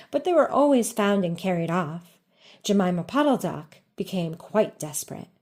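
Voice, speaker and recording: Woman, medium pitch, storybook narrator, expressive read-aloud delivery, deliberate, for children.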